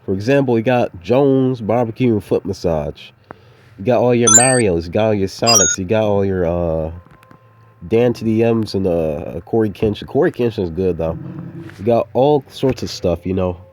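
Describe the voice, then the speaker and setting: A man's voice: on the low side, moderate pace, noisy background. A young adult man rambling casually in a laid-back, slangy, meandering way, like an informal audio diary. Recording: dull, muffled lo-fi audio.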